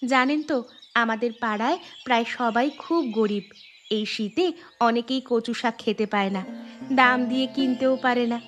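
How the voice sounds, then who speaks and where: A kind, modest young village wife in a children's cartoon, voiced by a woman, speaks in a high voice. She is earnest and plain-spoken, with music clearly playing behind her. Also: normal speed, fairly bright tone, natural voice. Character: kind, humble young village wife who grows greens for the poor. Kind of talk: Bengali children's cartoon voice acting.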